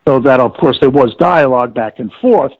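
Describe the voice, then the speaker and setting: An older American man gives a halting, carefully worded interview in a gravelly voice. He sounds articulate and somewhat formal, pausing to search for words. The audio is thin and phone-like, with a very dry sound. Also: mid-range voice, expressive, no echo. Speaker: interviewee, former audiobook director and producer. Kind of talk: interview recollection. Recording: thin band-limited phone-like call audio.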